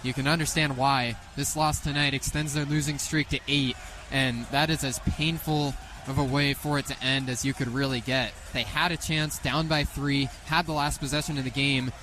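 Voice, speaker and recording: Bright, forceful young male basketball commentator. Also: medium pitch, normal speed, low-quality recording. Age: 20s. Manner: excitable, rising sharply on big plays. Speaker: play-by-play radio commentator. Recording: live sports radio, faint background noise.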